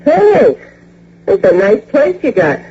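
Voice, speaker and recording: A woman's voice: fairly high pitch, huge pitch swings, takes it slowly, dark tone, exaggerated voice. An actress playing a brash, chatty woman neighbor in a radio drama, with a slangy, breezy, wisecracking delivery. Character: brash, chatty, short plump New York woman neighbor. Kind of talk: old-time radio drama acting. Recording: dull, noisy radio drama recording with hum.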